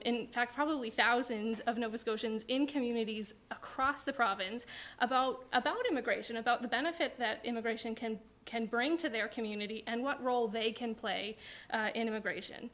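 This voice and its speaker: Woman, 30s, squeaky, self-deprecating panel speaker at a podium mic. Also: fairly bright tone. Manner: chatty, self-deprecating, frequent ums. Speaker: provincial immigration director. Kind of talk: panel presentation. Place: university lecture room. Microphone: podium microphone.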